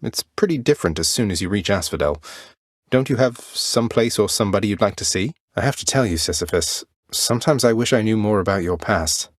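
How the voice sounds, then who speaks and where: Young underworld prince, video game hero, low voice, dry studio sound, polite, cheeky, determined, understated wit. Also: male voice, expressive, brisk, no room sound. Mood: polite, wry. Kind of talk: video game character voice lines.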